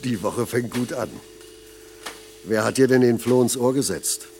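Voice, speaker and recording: Actor as a gravelly, gruff paternal foreman. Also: medium pitch, measured pace, a little mellow, a little hiss or hum, lightly acted. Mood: gruff, scolding. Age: middle-aged. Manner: blunt, scolding, patronising. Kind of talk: German radio play acting.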